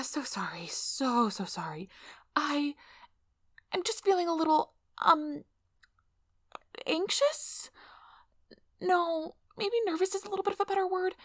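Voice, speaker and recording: A woman playing a nervous young female PhD researcher in an ASMR role-play, flustered, halting and apologetic, with breathy whispered asides. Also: high-pitched, lively intonation, a bit bright, no echo, studio quality, full character voice. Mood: nervous, rambling.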